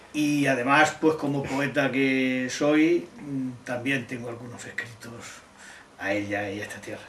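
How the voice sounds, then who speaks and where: A middle-aged or older man from Extremadura in Spain, answering interview questions deliberately, often rephrasing as he goes. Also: medium pitch. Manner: deliberate, halting, rephrasing as they go. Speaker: interviewee, technology teacher and amateur poet. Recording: roomy, distant mic, faint noise.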